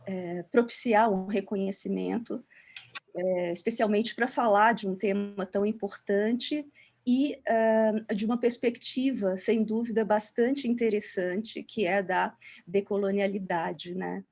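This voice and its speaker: A woman's voice: medium pitch, steady tone, a little slow, darkish tone. A woman with a Brazilian accent introducing a speaker as moderator on an online call, searching for her words. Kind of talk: academic introduction, unscripted.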